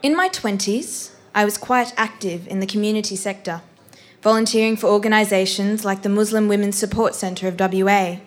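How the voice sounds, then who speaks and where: Young woman reading a story aloud. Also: medium pitch. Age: young adult. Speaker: performing arts graduate reading a story aloud. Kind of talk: expressive live literary reading.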